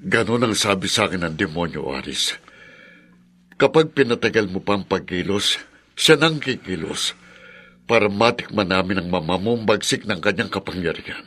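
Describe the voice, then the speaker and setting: An actor playing a fearful, pressuring father in a Filipino radio horror drama, with a husky voice and urgent, dramatic delivery. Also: deep voice, expressive, moderate pace, sharp tone, full character voice.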